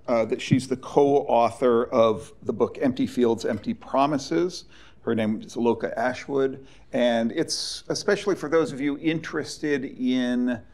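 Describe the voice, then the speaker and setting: A man introducing speakers at an academic panel, halting, with frequent fillers and restarts. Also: medium pitch, gravelly, darkish tone. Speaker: panel moderator.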